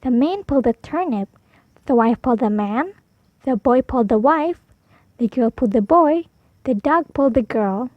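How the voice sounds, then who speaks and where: A woman storyteller reading a picture book aloud for preschoolers, animated, drawing out key words for emphasis. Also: high voice, normal speed, no room sound, phone-quality sound. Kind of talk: expressive read-aloud for preschoolers.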